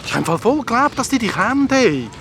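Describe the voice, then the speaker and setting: An actor voicing an excitable, outraged boy in a Swiss German children's radio play. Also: extremely high pitch, fast, background noise, heavily acted.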